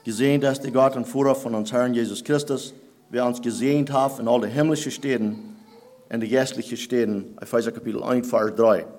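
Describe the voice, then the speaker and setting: A man whose first language is Plattdeutsch, preaching a sermon in halting German that he mixes with English phrases. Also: medium pitch, fairly even intonation, a little mellow. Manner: halting, searching for German words, mixing in English.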